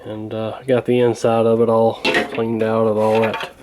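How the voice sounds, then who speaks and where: A man's voice: on the low side, monotone, moderate pace, very noisy. A man talking casually and hesitantly through a hands-on repair, in a folksy, unscripted way. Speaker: radio repair hobbyist, presenter.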